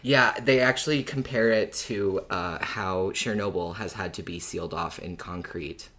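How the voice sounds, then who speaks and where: A man's voice: sits low, unhurried, bright, forceful tone. A man co-hosting a podcast, explaining things informally with conversational asides. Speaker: podcast co-host.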